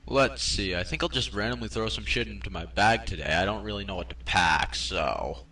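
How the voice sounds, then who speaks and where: A man's voice: fairly low pitch, a little fast, pushed and bright. A man voicing clueless, melodramatic characters in an amateur anime parody dub. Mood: baffled, casual. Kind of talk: comedic cartoon parody dubbing. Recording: noisy amateur voice recording.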